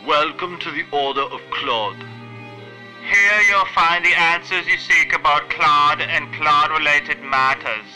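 A man's voice: fairly high pitch, slow pace, over music, rough recording. A man playing a creepy, eager cult gatekeeper in a scripted audio comedy. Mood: solemn.

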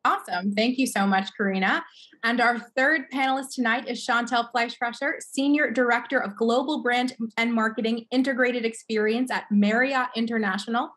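A woman's voice: a little high, moderate pace, fairly bright tone. A young woman moderating a panel on a video call, introducing and prompting guests in a prepared hosting style. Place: indoor room, on a video call.

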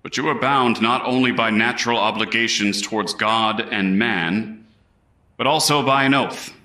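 A man playing a grave, earnest colonial Crown prosecutor in a historical courtroom drama, speaking in formal, deliberate oratory. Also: on the low side, pushed and bright. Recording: echoey room.

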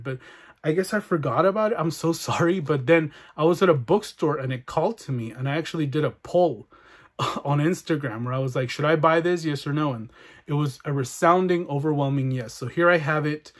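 A young man from Mexico, a book vlogger chatting casually and warmly through viewer comments in his room, laughing now and then. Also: fairly high pitch, fairly quick. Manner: chatty, friendly, laughs often. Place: bedroom with bookshelves and neon light, indoors.

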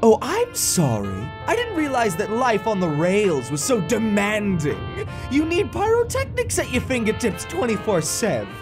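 A woman's voice: medium pitch, wildly swooping pitch, normal speed, few pauses, over music. A woman voicing a flippant male elf wizard in a comic dub, breezy and sarcastic. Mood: mocking. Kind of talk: comic dub character acting.

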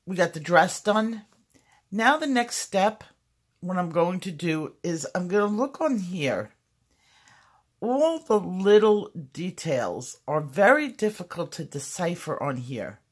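A woman with a slightly hoarse voice giving a casual, step-by-step tutorial in an informal, explanatory way. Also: lowish voice. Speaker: coloring tutorial host.

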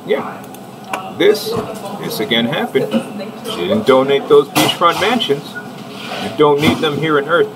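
A middle-aged man giving rambling, halting commentary as he reads out an article, sardonic and mock-preachy. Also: a little high, expressive, a loud crowd. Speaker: online commentator. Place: indoor room, seen on webcam. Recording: distant mic under loud music.

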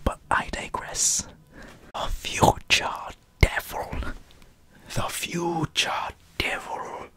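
Breathy whispering man, close on the mic. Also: extremely slow, pushed and bright. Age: 20s. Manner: repeats trigger words over and over, playful. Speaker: ASMR video creator. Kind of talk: ASMR whispering. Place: indoors in front of a green screen. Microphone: large desk microphone at close range.

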